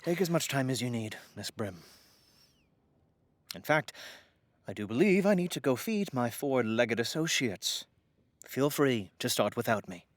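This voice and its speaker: An actor playing a courteous, earnest estate lawyer in an audio drama, formal, halting and florid. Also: male voice, mid-range voice, expressive, no room sound, lightly acted.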